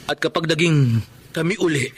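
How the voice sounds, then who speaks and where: A man's voice: a little high, normal speed, slight background noise, lightly acted. An actor playing a heartbroken, earnest young man in a radio drama, speaking with emotional yearning. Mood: determined. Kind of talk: Tagalog radio drama acting.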